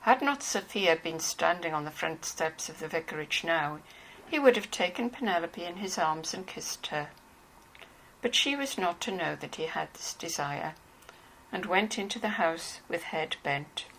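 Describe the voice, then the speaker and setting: Lowish, creaky woman narrator. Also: expressive, measured pace, a bit bright, low-quality recording. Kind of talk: scripted narration of a novel. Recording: faintly hissy home recording.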